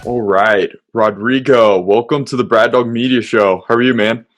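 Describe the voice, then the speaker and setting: A man's voice: mid-range voice, fairly even intonation, moderate pace, faint music behind. A man hosting a casual interview show, talking in a friendly, easygoing way with fillers. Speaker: podcast host.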